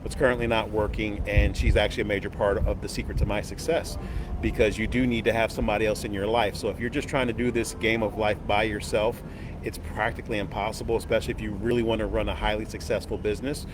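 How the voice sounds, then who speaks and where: A man's voice: fairly low pitch, little pitch movement, fast talker. A man hosting a casual livestream outside a hotel, upbeat and folksy, laughing easily. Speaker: host. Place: outdoors by a hotel on a busy street. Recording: loud outdoor traffic noise.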